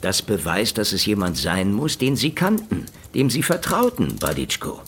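A hard-boiled detective with a low voice and a dry, laconic manner. His intonation moves widely despite the sardonic tone. It is a radio drama with clear ambient noise behind him. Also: big pitch swings, normal speed, fairly bright tone. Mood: wry, probing. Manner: dry, laconic, world-weary. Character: sardonic hard-boiled male private detective in the Soviet Union. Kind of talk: German radio play acting.

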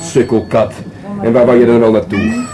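A man acting an affectionate but chiding cat owner in a radio play. His voice is of average pitch, with music clearly heard behind. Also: steady tone, moderate pace, lightly acted. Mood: teasing. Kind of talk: Dutch radio drama acting.

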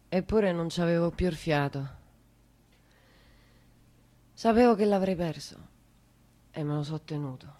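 An actress playing a wounded, bitter working-class woman with a Roman accent, in a hushed, raw radio monologue. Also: fairly low pitch. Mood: resigned, wounded. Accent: central Italian, Roman. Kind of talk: intimate radio drama monologue.

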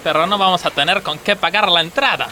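An actor playing a jumpy, excitable male factory worker in a radio comedy, with an Argentine accent. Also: high voice, fast talker, a little hiss or hum. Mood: nervous. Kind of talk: comedic radio theatre acting.

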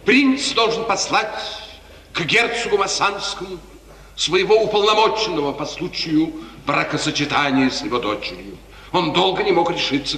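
Smooth scheming courtier, echoey old recording. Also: male voice, fairly high pitch, sharp tone, far from the mic, exaggerated voice. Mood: unctuous. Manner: unctuous, florid, insinuating courtly speech. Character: smooth, scheming male courtier, falsely friendly and touchy. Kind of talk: 1950s Russian radio theatre acting. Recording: noisy echoey 1950s radio drama recording.

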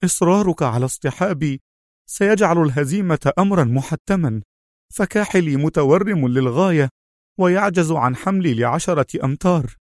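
A man's voice: a little high, big pitch swings, a little fast, dry recording, studio quality, voice pushed up. A man voicing an anxious injured comrade in an adventure story, strained and deferential as he speaks. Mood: bitter, protesting. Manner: strained, protesting.

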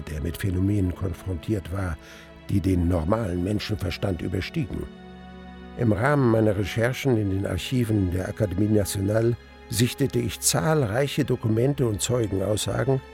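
An actor playing a reflective older man narrating his memories in a radio drama, literary, deliberate and gravelly. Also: lowish voice, darkish tone.

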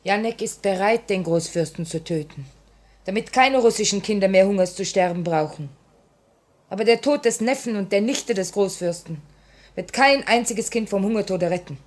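An actress playing a principled female revolutionary in a vintage radio drama. She has a medium-pitched voice and speaks with grave, earnest firmness. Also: animated, normal speed, lightly acted. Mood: grave, firm. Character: principled, compassionate woman revolutionary, quietly firm. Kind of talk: 1950 radio play acting. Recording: vintage 1950 radio recording.

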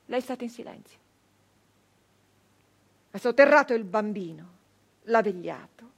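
An actress playing a fierce, embittered nineteenth-century brigand woman in an intense, declamatory theatrical monologue with dramatic pauses. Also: mid-range voice, animated, vocal fry. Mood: grim. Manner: intense, declamatory, with slow pauses and hushed drops. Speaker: stage actress.

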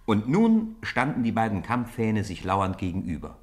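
A man narrating a 1950s radio play, telling a snowball fight with rising excitement. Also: fairly low pitch, moderate pace. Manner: lively storytelling, rising with the fight. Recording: old radio play with crowd noise behind.

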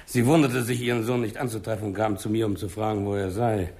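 An actor playing an affable, deferential small-town mayor in a 1960s German radio crime drama, talking in a conciliatory, slightly hesitant way. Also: male voice, on the low side, fairly even intonation, brisk, darkish tone, close to an everyday voice. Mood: informative, obliging.

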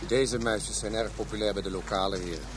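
A man's voice: lowish voice, fairly even intonation, brisk, background noise, lightly acted. An actor playing a world-weary, knowing Dutch police detective in a radio drama, a man with a matter-of-fact, grim way of explaining things. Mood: grimly informative.

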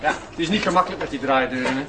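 A man's voice: fairly high pitch, racing, close to an everyday voice. Courteous Flemish hotel receptionist played by a man, noisy backdrop. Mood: obliging. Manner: courteous, chatty. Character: helpful, chatty, polite male hotel receptionist. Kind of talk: audio drama acting. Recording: clearly noisy ambient backdrop.

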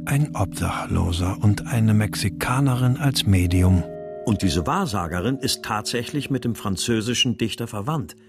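A troubled husband in a radio crime drama, with music under his voice. He speaks low, measured and brooding, narrating his search for his family. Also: expressive. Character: troubled husband searching for missing wife and daughter. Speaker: lead actor and first-person narrator.

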